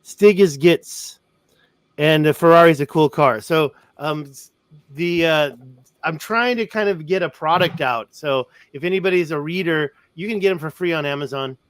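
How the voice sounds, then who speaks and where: A man speaking as a guest author in a casual chat, excitable and jokey while plugging his books. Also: on the high side, moderate pace, clean tone, dry recording. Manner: chatty, excitable, jokey plugs.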